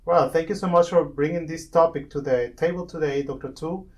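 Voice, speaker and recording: Male host, a little high, off-mic, rambling and encouraging. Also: steady tone, brisk, far from the mic. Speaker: podcast host. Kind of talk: casual podcast wrap-up.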